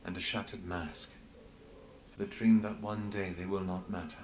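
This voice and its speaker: An actor playing an earnest, loyal and idealistic leader in a fantasy video game, speaking solemnly. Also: male voice, sits low, level tone, moderate pace, a little mellow, a little hiss or hum, natural voice. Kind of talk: dramatic fantasy game voice acting.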